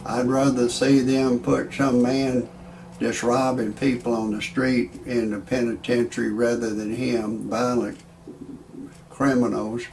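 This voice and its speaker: A man's voice: mid-range voice, little pitch movement, unhurried, vocal fry, darkish tone, a little hiss or hum, low-quality recording. A man telling jokes and stories in a rambling comedic monologue, often stammering and restarting as he chuckles through his own material. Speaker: amateur comedian commentator.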